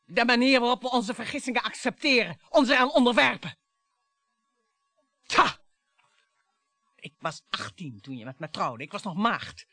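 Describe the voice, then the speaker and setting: Volatile, embittered wife, brightly intense. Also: female voice, fairly high pitch, very animated, moderate pace, long pauses, dry recording. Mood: bitter, resigned. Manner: dramatic, mocking, volatile monologues. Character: embittered, taunting, theatrical wife on the brink of violence. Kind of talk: radio play acting.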